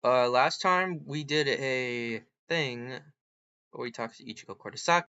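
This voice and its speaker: Bright-voiced man vlogging on a muffled mic. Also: medium pitch, measured pace, clean tone, dry recording. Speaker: host of an online character-chatbot video. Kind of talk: casual unscripted vlog talk.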